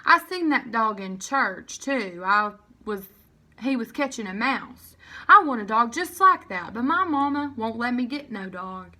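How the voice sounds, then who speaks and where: A woman's voice: a little high, lively intonation, unhurried, pushed and bright, full character voice. Woman as a chatty, wide-eyed little girl. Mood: eager. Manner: childish, ungrammatical country phrasing.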